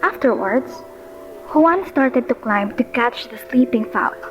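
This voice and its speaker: A woman's voice: fairly high pitch, animated, measured pace, dull audio, soft background music. A woman narrating a radio play in a scripted storytelling style.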